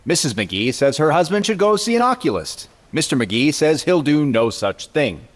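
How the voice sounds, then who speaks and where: A man's voice: on the high side, big pitch swings, normal speed. A man playing a polished, salesmanlike American announcer in a 1940s radio style. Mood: genial, persuasive. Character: genial 1940s radio pitchman.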